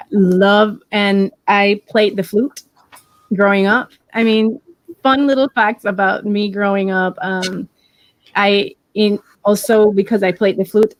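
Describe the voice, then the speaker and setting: A woman's voice: mid-range voice, dry recording, rough recording. Encouraging Puerto Rican woman, dry sound. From Puerto Rico. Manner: warm, encouraging, with many restarts. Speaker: interview guest. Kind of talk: casual interview.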